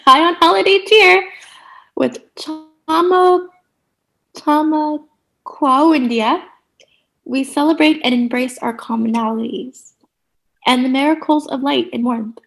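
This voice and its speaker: A woman's voice: high-pitched, slow, creaky, pauses often, a bit bright, heavily acted, poor audio. A woman playing a relentlessly cheery, bossy sitcom character in a comedic radio play, theatrical, gushing and condescending. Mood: rapturous.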